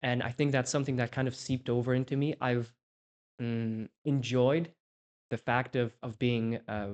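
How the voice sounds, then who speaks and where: A young man in his twenties, raised in Poland, speaks in casual podcast chat. He reflects as he goes, restarting sentences while he thinks aloud. His pitch sits in an ordinary middle range. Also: fairly even intonation, normal speed, darkish tone. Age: 20s. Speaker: podcast co-host, interviewee.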